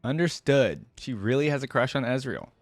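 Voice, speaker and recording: A man's voice: medium pitch, very animated, normal speed, soft background music. A man giving casual, chatty commentary as a reaction video host, easily amused and hedging as he goes.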